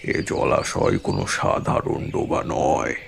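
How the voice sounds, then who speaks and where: A man playing an old village priest in an audio horror story, speaking in a gravelly, halting, foreboding way. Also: a little hiss or hum, close to an everyday voice. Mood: grave warning. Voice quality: gravelly, croaking. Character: ominous old village priest giving a warning.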